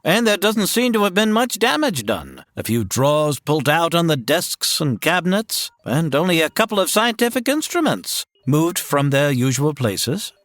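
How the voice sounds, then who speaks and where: A fussy older scientist. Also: male voice, a little high, big pitch swings, moderate pace, hardly any pauses, a bit bright, no room sound, studio quality. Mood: fretful. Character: fussy older male scientist Doctor Huer.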